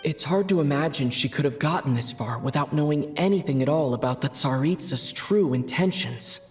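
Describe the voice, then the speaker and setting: Young male adventurer lead , played by a man: middle register, thoughtful and measured, sincere, over game music. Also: medium pitch, close to an everyday voice. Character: earnest young male traveler, reflective, reassuring adventurer. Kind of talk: animated video game dialogue.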